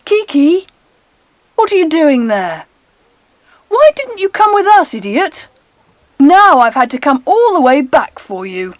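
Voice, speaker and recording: An eager English child on an adventure, with a very high voice that leaps about. The delivery is emphatic and exclamatory, in the manner of a children's audiobook play, recorded dry. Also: female voice, very animated, a little slow, long pauses, no echo. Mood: exasperated. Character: excitable adventurous British schoolboys and girl on a treasure hunt. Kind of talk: dramatised children's audiobook acting.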